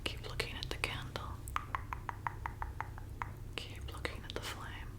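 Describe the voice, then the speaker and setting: A woman playing a flirty, commanding vampire bartender in an ASMR roleplay, softly coaxing and hypnotizing in a breathy whisper. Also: natural voice. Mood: seductive, predatory. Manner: slow, soothing, coaxing, deliberate.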